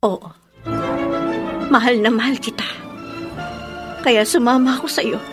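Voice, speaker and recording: A high female voice from an actress plays a heartbroken young woman standing firm against a lover. The delivery is deliberate and full of feeling, in Filipino radio drama style, with music underneath. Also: steady tone, close to an everyday voice. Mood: bitter, pained. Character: heartbroken resolute young woman rejecting her former lover. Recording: music behind the voice.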